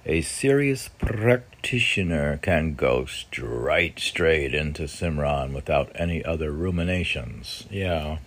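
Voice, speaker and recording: A man reading his own poems informally, meandering and halting, with musing asides and rhetorical questions. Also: rumbling low, expressive, fairly bright tone. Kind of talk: informal poetry reading with spontaneous commentary.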